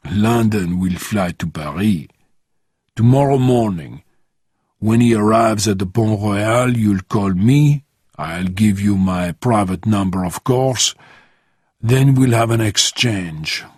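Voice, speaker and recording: A man playing a huge, calm, menacing crime boss with formal, measured phrasing in a thriller audiobook. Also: breathy edge, no echo, clean studio sound. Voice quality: low voice.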